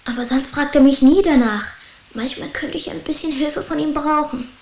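A woman's voice: high-pitched, normal speed, darkish tone. A woman playing an eager, candid schoolboy in a German children's radio drama. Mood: candid, chatty. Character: Anton, eager schoolboy, candid and a little stubborn. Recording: hissy, roomy recording.

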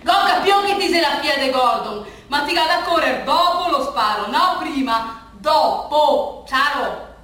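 An actress voicing an exasperated, mocking athletics coach who scolds in colloquial speech, in a theatre monologue. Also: female voice, high-pitched, lively intonation, measured pace, sharp tone, exaggerated voice. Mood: mocking, scolding. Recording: echoey room, distant mic, faint noise.

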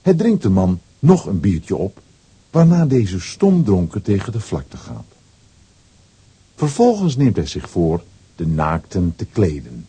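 Low, very husky male storyteller. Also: wildly swooping pitch, unhurried, mellow. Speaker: narrator. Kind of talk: scripted storybook narration for children's audio drama.

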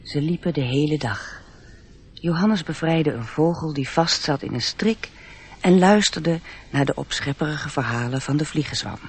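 A man's voice: a little high, normal speed, a little hiss or hum, close to an everyday voice. A man narrating a radio play in a scripted, literary storytelling style.

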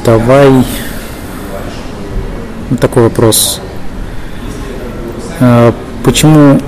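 A man interviewing for a podcast, asking unscripted questions in a hesitant way, breaking his phrases with pauses. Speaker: podcast interviewer, tech lead. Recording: noisy venue, loud background rumble.

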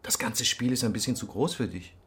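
An actor playing a hard-nosed police investigator in a radio crime drama, sardonic, commanding and cutting. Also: male voice, medium pitch, a little fast, fairly bright tone, natural voice. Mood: needling, superior.

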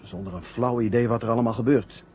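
An actor playing an anxious, bewildered stranded astronaut, halting and earnest, in a 1970 radio drama. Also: male voice, mid-range voice, close to an everyday voice. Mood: troubled, bewildered. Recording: dull, noisy 1970 radio drama recording.

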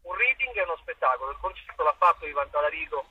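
A man's voice: fairly high pitch, animated, fast talker, husky, no room sound, phone-quality sound. A man answering interview questions conversationally as an actor and playwright, hesitant and searching for words, often repeating himself.

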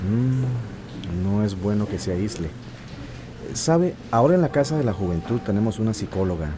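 A man's voice: fairly low pitch, animated, moderate pace, background noise, lightly acted. An actor playing a kind, concerned male teacher in a radio drama, speaking with a Costa Rican accent. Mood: sympathetic, concerned. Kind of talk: Spanish-language radio drama acting.

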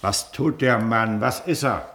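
A man's voice: fairly low pitch, unhurried, fairly bright tone. A man playing a pompous, self-important Prussian baron and district official in a German radio play, officious and commanding, hemming and hawing as he interrogates. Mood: brusque, dismissive.

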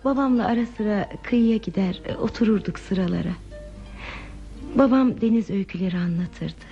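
An actress playing a lonely, reflective wanderer in a radio play, speaking in a measured, wistful, philosophical manner. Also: female voice, medium pitch, gravelly, mellow, faint music behind, close to an everyday voice. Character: lonely, reflective wandering woman without belongings. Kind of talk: Turkish radio theater acting. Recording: dull, muffled radio drama recording.

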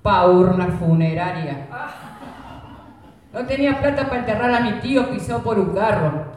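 An actor doing a deadpan gaucho joker. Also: mid-range voice, moderate pace, recorded from a distance, close to an everyday voice. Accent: Rioplatense Spanish. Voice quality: dry, deadpan humor. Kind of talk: amateur radio drama acting. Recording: echoey room, off-mic.

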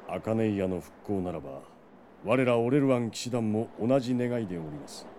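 An actor playing a loyal, solemn knight captain in a fantasy audio drama, speaking in formal, deferential courtly language. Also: male voice, lowish voice, expressive, brisk, warm tone, a little hiss or hum, natural voice. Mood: firm resolve.

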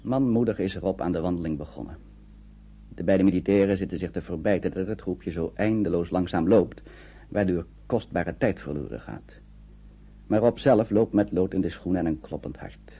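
A low-voiced man as a radio play storyteller, husky and grave. His scripted narration comes through a narrow, phone-like old recording. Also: moderate pace. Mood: grave, dramatic. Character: storyteller narrating a tense wartime journey.

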